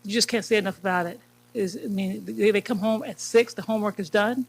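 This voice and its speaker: A woman's voice: mid-range voice, steady tone, brisk, creaky. Brief: woman, enthusiastic community speaker, heard from a distance from the mic. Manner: warm, enthusiastic praise.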